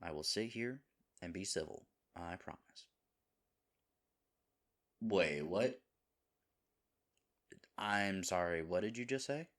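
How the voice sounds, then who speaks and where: A man's voice: low-pitched, slow, pauses often, a bit bright. A man playing a lovable, nervous himbo boyfriend in an intimate audio roleplay, earnest and rambling. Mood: confused, flustered. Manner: earnest, rambling, hesitant, dropping into breathy asides.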